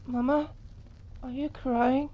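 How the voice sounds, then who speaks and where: A woman's voice: sits high, fairly even intonation, slow, dull audio, a little hiss or hum. A woman voicing a timid, worried little boy in an audio drama, asking in an innocent, hesitant way. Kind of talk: cinematic audio drama acting.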